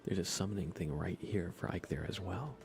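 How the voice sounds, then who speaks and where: A man streaming ASMR in a soft, breathy whisper, chatting casually and gently while he plays a game. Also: sits low, brisk. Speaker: livestream host. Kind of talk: ASMR livestream, casual chat while gaming.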